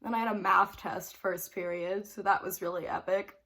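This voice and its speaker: A woman's voice: mid-range voice, steady tone, normal speed, bright, forceful tone. A teenage girl vlogger casually recounting a story to camera, bubbly and rambling, with frequent "like" fillers and giggles between phrases. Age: teens.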